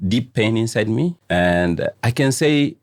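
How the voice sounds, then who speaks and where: A middle-aged man from Tanzania, a coach answering interview questions slowly, pausing often as he searches for words. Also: fairly low pitch, expressive, no echo, studio quality. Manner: slow, searching phrasing with frequent "uh" pauses. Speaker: guest coach. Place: studio.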